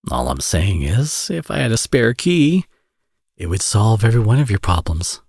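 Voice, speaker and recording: Man acting a deep, husky flirtatious villain. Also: sing-song, darkish tone, no room sound, studio quality, exaggerated voice. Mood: teasing, exasperated. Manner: teasing, sardonic, drawling with drawn-out phrases. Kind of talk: ASMR romance audio roleplay, intimate acting.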